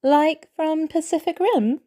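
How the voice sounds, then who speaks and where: A woman voicing an eager, excitable teenage boy in a story reading, wide-eyed and a little shy. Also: high voice, takes it slowly, voice pushed up. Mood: curious, eager.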